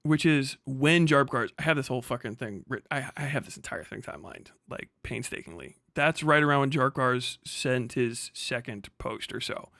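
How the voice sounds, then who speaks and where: A man giving casual, sweary livestream commentary in an exasperated, sarcastic, animated manner. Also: mid-range voice, normal speed, gravelly, few pauses, no room sound, studio quality. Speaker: livestream host reacting to a recorded conversation.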